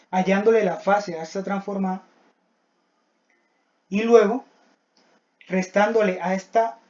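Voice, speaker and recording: A young student presents a technical class project with a mid-pitched voice. The delivery is didactic and halting, moving step by step through prepared material. The recording is dull and lo-fi. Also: darkish tone. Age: young adult.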